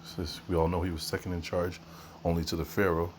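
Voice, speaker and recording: Deep-voiced man musing casually. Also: flat delivery, fairly quick, a little hiss or hum. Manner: musing, conversational, with fillers and asides. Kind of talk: casual commentary on a read text.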